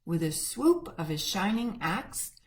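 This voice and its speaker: Woman, church story time reader, slow pace, lively pitch, gentle children's book reading.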